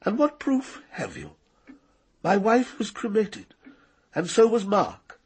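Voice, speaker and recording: An actor playing an arrogant, cold-blooded older knight in a radio drama, precise and condescending. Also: male voice, sits high, wildly swooping pitch, slow, slightly rough, heavily acted. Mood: cold, menacing. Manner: condescending, precise, coolly menacing.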